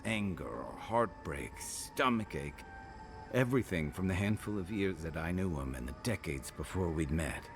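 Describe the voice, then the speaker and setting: An actor playing a weary, loyal, regretful companion in a video game, speaking in an earnest, deliberate, reflective way. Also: male voice, extremely low pitch, heavily acted. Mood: pained, reflective. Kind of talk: video game dramatic dialogue.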